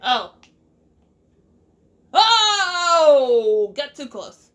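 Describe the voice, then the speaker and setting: A woman's voice: high voice, very animated, extremely slow, a bit bright. A woman giving casual let's play commentary, reacting and muttering to herself as she plays. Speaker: gaming streamer.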